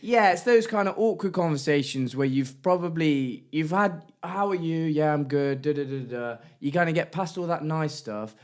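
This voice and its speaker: A man's voice: fairly high pitch, normal speed, hardly any pauses, a little mellow. A young man co-hosting a podcast, chatting in a rambling, laughing way. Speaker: podcast co-host. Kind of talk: casual chat.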